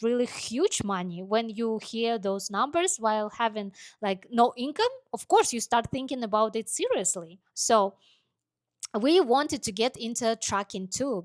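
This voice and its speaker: A woman from Kazakhstan, telling her life story as a vlogger in halting full of "like" fillers. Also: a little high, dry recording. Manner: halting non-native English, many "like" fillers. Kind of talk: personal life story monologue.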